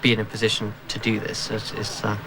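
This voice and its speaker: A man's voice: lowish voice, monotone, a little fast, vocal fry. A young man, a new army officer, giving formal, hesitant interview answers while searching for words. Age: young adult. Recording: noisy field recording.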